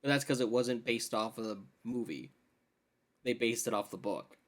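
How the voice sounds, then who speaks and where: A man's voice: mid-range voice, normal speed. A man co-hosting a podcast, talking casually and emphatically with slangy words like "dude" and "like". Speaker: podcast co-host. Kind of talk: casual chat about games and films.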